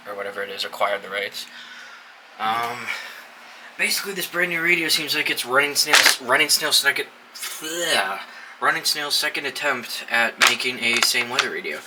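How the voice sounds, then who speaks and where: A man's voice: mid-range voice, background noise. A young man giving a casual, wry and offhand unboxing commentary, swearing casually as he goes. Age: young adult. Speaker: hobbyist video host.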